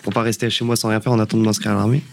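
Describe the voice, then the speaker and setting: A man's voice: on the low side, level tone, rapid-fire, gravelly, slight background noise. A nineteen-year-old man speaking hesitantly, with many fillers, on a youth radio show.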